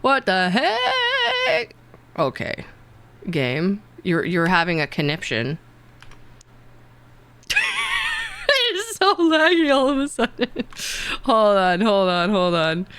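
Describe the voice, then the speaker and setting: A woman's voice: medium pitch, huge pitch swings, takes it slowly, pauses often, a bit bright, a little hiss or hum. A young woman streaming casually, rambling and teasing herself, with many fillers and laughs. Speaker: VTuber streamer.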